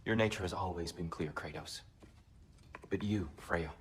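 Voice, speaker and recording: An actor playing a weary, gentle, peace-loving god in a game, measured and formal, full of self-doubt. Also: male voice, low voice, natural voice. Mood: reproachful. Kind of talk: dramatic video game acting.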